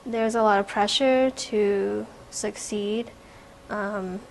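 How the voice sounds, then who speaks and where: A woman's voice: mid-range voice, fairly even intonation, slow, gravelly, low-quality recording. Young woman, reflective, over background noise. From from Vietnam, raised in America from age four. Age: young adult. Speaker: art student and painter. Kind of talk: informal spoken artist profile.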